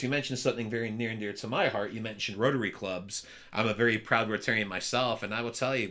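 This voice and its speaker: A man hosting a podcast interview, talking in an energetic, upbeat way with a loud voice. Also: mid-range voice. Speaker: podcast host. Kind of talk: casual interview chat.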